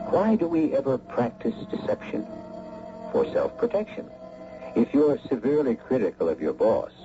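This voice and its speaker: A man narrating a radio mystery as host, deliberate, ominous and rhetorical in a theatrical old-time style. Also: fairly low pitch. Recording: dull old radio recording with music.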